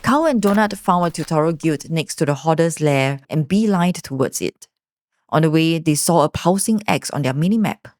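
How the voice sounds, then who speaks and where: A woman's voice: low-pitched, animated, moderate pace, darkish tone, no room sound. A woman narrating a scripted story recap as the storyteller.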